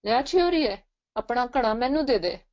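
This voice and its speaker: Cheeky male folk-tale mouse female performer, Punjabi accent, high, sing-song bargaining, slightly lo-fi. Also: lively intonation, normal speed, darkish tone. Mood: cheeky demanding. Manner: sing-song rhyming chant.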